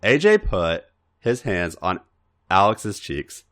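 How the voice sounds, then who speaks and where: Male voice, slightly low, hugely varied intonation, dramatic story narration, theatrical. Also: huge pitch swings, takes it slowly, fairly bright tone. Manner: theatrical, acts out the dialogue. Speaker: podcast reader. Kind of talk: dramatic narration of adult fan fiction.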